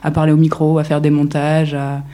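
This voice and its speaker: A woman's voice: low-pitched, monotone, a little fast, warm tone. A woman explaining things in an informal, rambling way during a casual group discussion.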